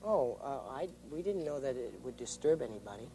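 Polite, gentle male tap dancer. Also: medium pitch, animated, a little fast, breathy edge, rough recording. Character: friendly, polite young male tap dancer visiting puppets. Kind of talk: children's television play.

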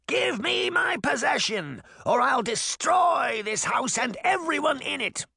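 A man voicing an enraged, menacing old witch who screams drawn-out accusations in an audiobook story. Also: very high voice, big pitch swings, vocal fry, pushed and bright, no echo, clean studio sound, pitched above the performer's own voice, heavily acted. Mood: furious shrieking.

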